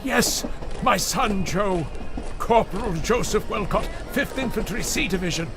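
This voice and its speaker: A man's voice: high-pitched, animated, a little slow, music in the background. An actor playing an agitated, grieving middle-aged father who hunts ghosts in an audio drama, speaking insistently and repeating himself with urgency. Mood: desperate.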